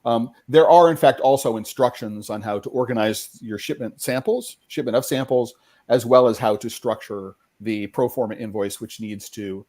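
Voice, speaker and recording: A man's voice: medium pitch, normal speed, darkish tone. Conversational man hosting a live stream. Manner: conversational, frequent ums and restarts. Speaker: host. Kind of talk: casual live-stream interview.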